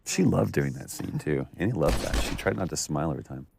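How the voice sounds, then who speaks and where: Very deep-voiced, enthusiastic male commentator. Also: lively intonation, quick pace, creaky, noisy background. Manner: enthusiastic, effusive. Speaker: actor commentator. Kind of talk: casual commentary chat.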